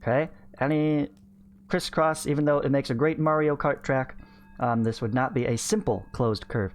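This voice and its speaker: Man in his thirties, math teacher, medium pitch, read-along lesson, informal explanations, frequent check-in tags, close mic. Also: fairly even intonation, normal speed, soft background music. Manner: informal, explanatory, often checking with "right?" and "okay". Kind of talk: read-along lesson, reading a textbook aloud with asides. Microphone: close studio microphone on a stand.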